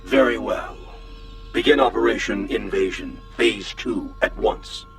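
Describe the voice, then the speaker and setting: A cold alien commander voiced by a man in a radio drama, under distinct music. The low voice is authoritative, clipped and formal. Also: a little slow, close to an everyday voice. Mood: cold, commanding.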